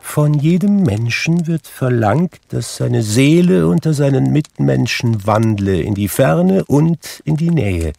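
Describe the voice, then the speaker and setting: A man's voice: medium pitch, lively intonation, slow pace, gravelly, few pauses, warm tone, voice pushed up. A man reading aloud, voicing the ghost of a dead old man weighed down by chains, in a grave, intoning way.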